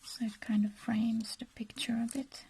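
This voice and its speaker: A woman with a Finland-Swedish accent talks softly through a craft project. Her voice is very breathy and husky, fairly high and mellow. She goes slowly and hesitantly, murmuring small asides as she works. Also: little pitch movement, rough recording. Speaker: craft vlogger. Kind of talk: casual process narration while crafting.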